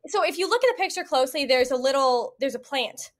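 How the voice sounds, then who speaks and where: A woman's voice: high-pitched, expressive, quick pace. A young American woman, a podcast guest giving a casual, chatty first-hand account. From United States. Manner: chatty, conversational.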